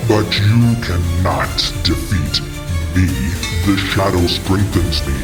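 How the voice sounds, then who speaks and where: A man's voice: low voice, voice pushed down. A man voicing a bitter, anguished fallen champion of shadow, grave and menacing, in a fantasy audio drama. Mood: cold menace. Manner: slow, grave, dramatic declamation. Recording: dramatic music behind voice.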